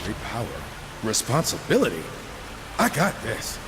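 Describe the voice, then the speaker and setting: A man's voice: medium pitch, wildly swooping pitch, normal speed, noisy background. An actor playing a cocky, wisecracking young male horseman in a video game, sarcastic and flippant. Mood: teasing. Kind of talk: video game cutscene acting.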